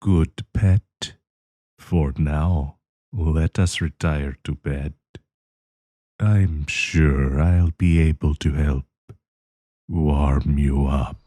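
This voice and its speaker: A man playing a sinister, possessive werewolf professor in an intimate audio roleplay, coaxing and menacing, with a husky, breathy voice. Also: very deep voice, steady tone, dark tone, dry recording, clean studio sound, full character voice. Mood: coaxing, predatory. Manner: slow, coaxing, menacing endearments.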